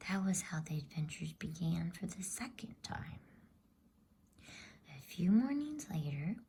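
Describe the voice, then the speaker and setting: A woman whispering a bedtime story as ASMR in a soothing, hushed, drawn-out voice. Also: on the low side, big pitch swings, slight background noise. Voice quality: breathy whisper.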